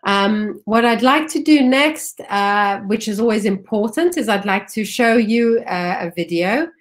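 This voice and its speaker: A woman's voice: medium pitch, animated, a little slow. A woman representing a school, presenting on a webinar over a video call, often hesitating with fillers and restarts.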